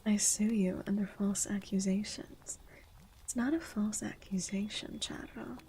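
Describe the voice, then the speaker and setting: A woman whispering softly, chatting and reading a legend in an ASMR stream, with a feminine voice on the low end of the register. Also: fairly even intonation, measured pace, low-quality recording. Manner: soft unvoiced whispering.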